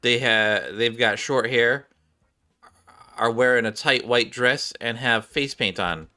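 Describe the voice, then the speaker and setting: A man's voice: mid-range voice, slow, pushed and bright. A man reading game cutscene dialogue aloud, now and then stumbling over words and rereading them.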